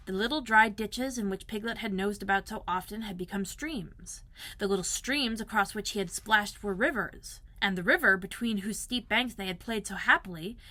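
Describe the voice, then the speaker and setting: Bright, animated woman reading a storybook. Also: medium pitch, no echo. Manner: dramatic repetition and emphasis. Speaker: children's librarian reading aloud. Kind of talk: expressive storybook narration for children.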